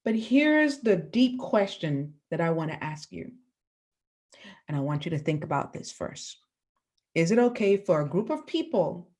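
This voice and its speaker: A woman teacher from the Bahamas giving a lesson to children, asking the class questions and pausing for answers. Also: medium pitch, a little mellow.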